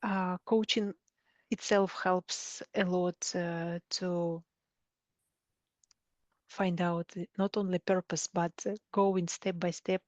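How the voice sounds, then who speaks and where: A woman with a Russian accent speaks slowly in a fairly low voice, pausing to search for words as she answers interview questions. Her pitch stays even, and the tone is conversational. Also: dry recording. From Siberia, Russia. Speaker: coach, interview guest.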